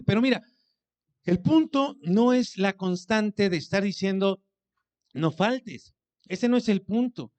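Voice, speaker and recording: A man's voice: sits high, darkish tone. A man preaching a sermon as pastor, speaking conversationally, asking his congregation questions and acting out what people say to him.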